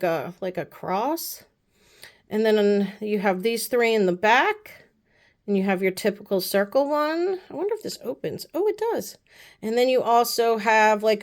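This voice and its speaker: A woman vlogger, sick with the flu, chatting casually and rambling with lots of fillers while unboxing a haul. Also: medium pitch. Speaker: beauty vlogger.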